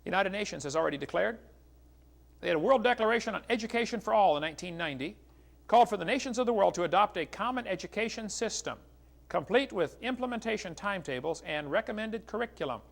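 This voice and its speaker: A man's voice: sits high, animated, fast, vocal fry. A middle-aged American man giving a religious lecture as an evangelist, in a folksy, polemical way, reading out quotations. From United States.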